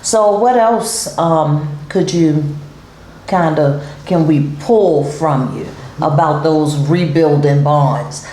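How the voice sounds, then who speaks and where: Woman talk show host, middle-aged, slow unhurried talk, roomy studio. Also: deep voice. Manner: warm reassuring, many fillers and repeated yeahs. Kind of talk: casual interview chat.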